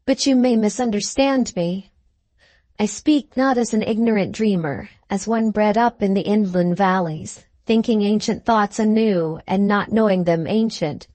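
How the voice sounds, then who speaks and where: A woman's voice: mid-range voice, a little mellow, no room sound, natural voice. A woman voicing a fervent, visionary male scholar in an audiobook, speaking in solemn, lofty oratory. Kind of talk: literary audiobook character reading.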